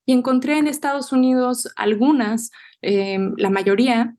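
A young adult woman poet answering interview questions in a thoughtful, measured way, often restarting small phrases as she thinks. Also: on the high side, darkish tone. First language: Spanish.

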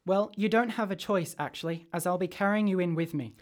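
A man's voice: high-pitched, fairly quick, lightly acted. A man playing an earnest, adventurous schoolboy inventor in a radio drama, speaking in declarations. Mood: determined.

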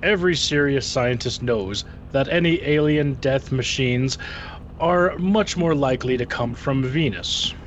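An actor playing a self-important crackpot scientist in a 1930s radio comedy spoof, speaking slowly and emphatically. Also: male voice, medium pitch, natural voice. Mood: pompous, earnest. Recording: dull lo-fi radio sound, faint noise.

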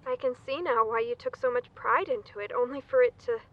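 An actress in an audio drama playing an earnest, reassuring crew member. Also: female voice, on the high side, fairly quick, dull audio, natural voice. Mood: earnest, gentle.

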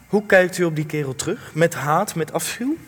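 A confrontational male interviewer in a radio drama. The voice is mid-pitched and husky, insistent and blunt in every question. Long hall reverb surrounds it, with music behind. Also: expressive, normal speed, a bit bright. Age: young adult. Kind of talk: Dutch-language radio play acting.

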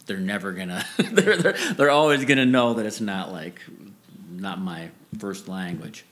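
A man's voice: sits low, lively intonation, unhurried, a bit bright. A man chatting casually as a podcast guest, rambling and correcting himself as he goes, laughing easily. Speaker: musician guest. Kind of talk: casual interview chat. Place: family home.

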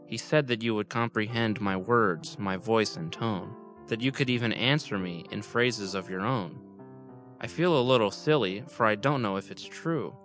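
A man's voice: lowish voice, fairly bright tone, lightly acted. A man voicing a shy, earnest young shepherd boy in a rhymed storybook tale. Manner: earnest, a bit sheepish.

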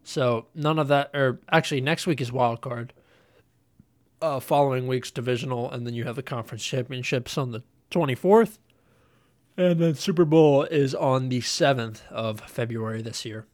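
Young male host, nasal head cold, rambling, middle-pitched, dry close recording. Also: normal speed, dry recording. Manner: rambling, relaxed, with many ums and uhs. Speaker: podcast host. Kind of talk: casual solo podcast chat.

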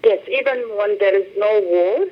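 A middle-aged woman from Lebanon, speaking English, gives a halting interview over the phone and often repeats words as she searches for phrasing. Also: medium pitch. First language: Arabic. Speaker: director of a humanitarian organization. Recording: muffled phone line.

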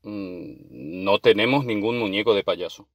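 A man's voice: fairly low pitch, measured pace, background noise. A man playing a courteous, concerned married father in a radio drama.

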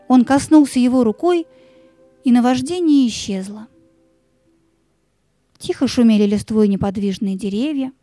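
High, mellow woman storyteller. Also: dry recording. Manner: expressive, suspenseful. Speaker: narrator. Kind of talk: dramatic scripted storytelling.

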